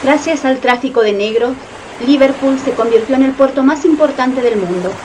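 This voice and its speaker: A woman's voice: sits high, normal speed, far from the mic, background noise. A woman reading scripted narration for a radio play. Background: music.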